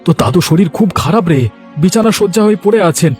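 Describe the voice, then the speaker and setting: A man's voice: high voice, fast, darkish tone, soft background music, lightly acted. A man acting a kind, upright village uncle in a cartoon. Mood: tender, consoling. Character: kind, morally upright village uncle, exasperated with greedy wife.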